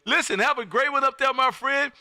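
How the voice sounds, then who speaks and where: A man chatting casually as an amateur radio operator and livestream host, with a loud, folksy manner. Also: very high voice, a little fast, creaky, no room sound, clean studio sound. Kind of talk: casual ham radio chat. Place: home radio room.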